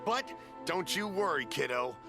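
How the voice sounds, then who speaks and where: A man's voice: a little high, lively intonation, a little slow, creaky, music in the background. A man playing a jovial, generous middle-aged festival stall keeper in a video game, folksy and effusive. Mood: apologetic, kindly.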